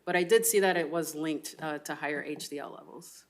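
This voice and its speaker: A young woman resident physician giving a medical lecture and answering audience questions with measured, thoughtful replies full of ums and uhs. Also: deep voice. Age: young adult.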